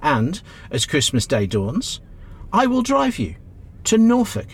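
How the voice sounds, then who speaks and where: An actor playing a kindly, encouraging middle-aged British taxi driver in a radio play, reassuring and plain-spoken. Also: male voice, fairly high pitch, wildly swooping pitch, slow pace, husky, full character voice. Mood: kindly, insistent.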